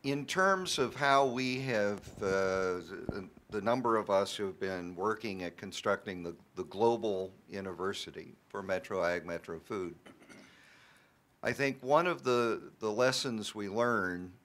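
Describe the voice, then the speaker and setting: A man with a somewhat low voice answers on a panel at a slow pace. He is hesitant and deliberate, assembling his thoughts as he talks like an academic. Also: a bit bright. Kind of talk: panel answer, thinking aloud.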